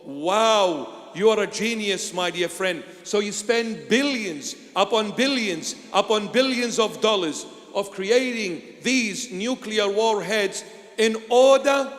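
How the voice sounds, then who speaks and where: Man, bishop preaching slowly in a large echoing hall; dramatic swings in volume. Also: sits high, animated. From Iraq. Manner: dramatic, swings from loud exclamations to hushed lines. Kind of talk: sermon.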